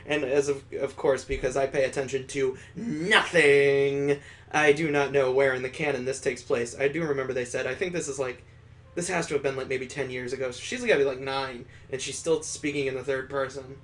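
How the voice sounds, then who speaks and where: A man's voice: mid-range voice, steady tone, fairly quick. A man hosting a casual read-aloud of a visual novel, rambling off into wry asides as he goes. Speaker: gaming host.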